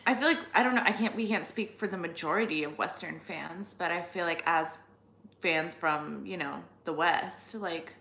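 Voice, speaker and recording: A woman's voice: lowish voice, moderate pace, creaky, pushed and bright, roomy sound. A young woman co-hosting a casual livestream, bantering playfully with her friend. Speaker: livestream co-host.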